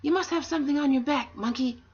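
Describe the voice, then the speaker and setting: Woman putting on a high, prying tortoise voice. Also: quick pace, close to an everyday voice. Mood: nosy, insistent. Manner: loud, prying, insistent questioning. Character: nosy tortoise questioning a passing monkey. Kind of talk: bedtime storybook character voice. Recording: dull, lo-fi home recording.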